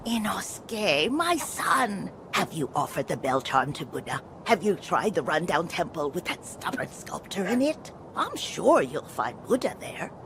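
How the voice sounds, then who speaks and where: A woman voicing a frail, senile old mother who speaks softly and dotingly, in game audio with ambient noise. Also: lowish voice, very animated, a little slow, hardly any pauses. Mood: doting, confused. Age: older. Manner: soft, wandering, doting.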